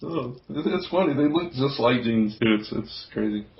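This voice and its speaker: Man, podcast host, medium-pitched voice, jovial casual group chat, dull lo-fi recording. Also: animated, moderate pace. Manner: jovial, chuckles through sentences. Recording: dull lo-fi audio.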